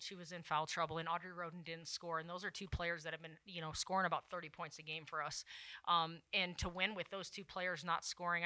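A woman's voice: fairly low pitch, pushed and bright, clear, resonant tone, no echo. A woman in her thirties, a head coach, speaking earnestly at a postgame press conference into a table mic. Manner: earnest, reflective, frequent "you know". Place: press room at table before backdrop. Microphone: table mic on stand.

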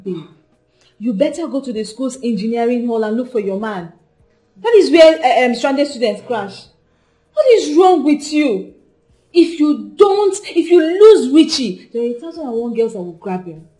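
A woman's voice: on the high side, a little fast, warm tone, lightly acted. A woman playing a blunt young student friend in a film drama. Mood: scolding, urgent. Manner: blunt, exclamatory. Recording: echoey room, faint music.

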